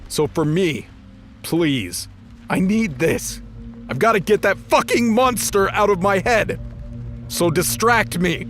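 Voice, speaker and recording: A man's voice: sits high, animated, slow, fairly bright tone, pitched above the performer's own voice, full character voice. Guy acting a bitter wounded god, sullen and pleading, sweary, over music in an audio drama. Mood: desperate, pleading. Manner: sullen, needling, profane.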